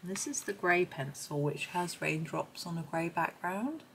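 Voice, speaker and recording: Low-voiced woman, slightly croaky, chatting. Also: lively intonation, fairly bright tone, slight background noise. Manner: chatty, unhurried thinking aloud. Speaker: host of a colouring supplies review. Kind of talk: casual chat while demonstrating.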